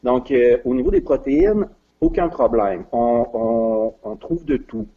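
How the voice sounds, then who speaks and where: A man's voice: mid-range voice, lively intonation, unhurried, phone-quality sound. A middle-aged man with a Quebec French accent, a guest in a casual interview, talking hesitantly with many fillers and restarts. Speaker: guest, regional business development adviser.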